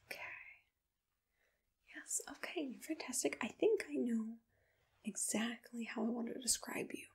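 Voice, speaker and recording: Woman playing a whispering, breathy monster matchmaker. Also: fairly high pitch, heavily acted. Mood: pleased, businesslike. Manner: soothing, gentle, unhurried, reassuring. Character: soft-spoken, accommodating female monster matchmaker. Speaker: ASMR roleplay performer. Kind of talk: ASMR personal-attention roleplay, mostly whispered.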